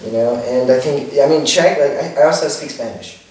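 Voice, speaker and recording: A man's voice: medium pitch, brisk, darkish tone. A young man from California being interviewed, chatting casually with many fillers and slipping in a few foreign words. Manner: chatty, many fillers like "like" and "you know", drops Czech words. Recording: echoey room, voice far from mic, faint noise.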